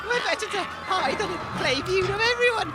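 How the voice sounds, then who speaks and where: A man playing a dim, anxious, excitable sidekick in a comic audio drama, with a whiny, hapless manner. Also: extremely high pitch, a little fast, loud music behind the voice. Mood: bewildered.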